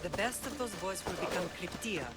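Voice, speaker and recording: A woman playing a stern yet loving older Spartan mother in a video game, speaking in a measured, solemn way. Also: lowish voice, over loud music, close to an everyday voice.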